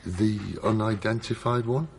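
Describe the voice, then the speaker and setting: A man playing an awkward, well-meaning policeman in radio drama, gravelly and halting as he reassures. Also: lowish voice, a little hiss or hum, lightly acted. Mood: businesslike, tense. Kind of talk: naturalistic radio drama acting.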